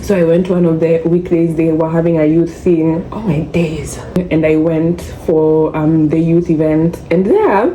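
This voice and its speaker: A woman's voice: fairly low pitch, mellow. Chatty young Kenyan woman, echoey room. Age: young adult. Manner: chatty, mixes English with Swahili slang. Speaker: vlogger. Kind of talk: casual storytelling vlog. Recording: echoey room with faint noise.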